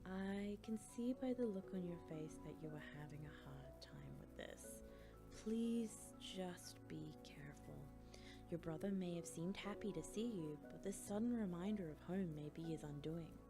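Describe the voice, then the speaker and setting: A woman playing a stern, disapproving academy professor in an audiobook drama, breathy and creaky, speaking in a measured, grave way. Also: on the low side, very animated, over music. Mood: cautioning.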